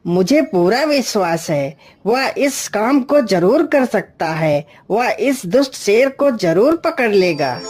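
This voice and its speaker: A woman's voice: medium pitch, very animated, slow, hardly any pauses, darkish tone. A woman voicing a respectful, earnest male subject persuading a king in a cartoon folk tale. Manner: deferential, persuasive. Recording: music bed behind the voice.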